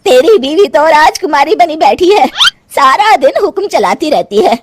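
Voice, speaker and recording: Woman acting exasperated, high-pitched cartoon mother-in-law. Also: animated, normal speed, noisy background. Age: older. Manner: scolding, complaining, theatrical. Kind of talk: Hindi cartoon moral story acting.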